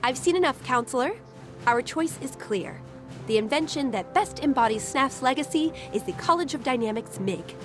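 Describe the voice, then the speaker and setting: An actress playing a haughty, sharp-tongued scholar of a small goblin-like race in a video game, dry and precise. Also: female voice, high voice, expressive, normal speed, over music. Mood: appraising. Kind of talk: video game cutscene acting.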